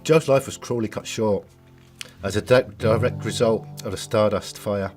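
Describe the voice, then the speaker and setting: A middle-aged man with an Irish accent gives a personal testimony as a bereaved relative, solemn and sometimes halting. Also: lowish voice, a little mellow, faint music behind. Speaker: bereaved brother giving a family statement. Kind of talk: personal testimony, partly recalled and partly read.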